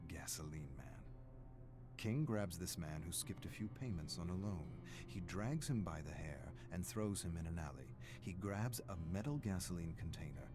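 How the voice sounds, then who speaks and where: An actor playing a grave, weary scholarly archivist with a morbid fascination, narrating dark game records in a deliberate, brooding way. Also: male voice, sits low, lively intonation. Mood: grim. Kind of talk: dramatic scripted game narration. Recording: clear music behind the voice.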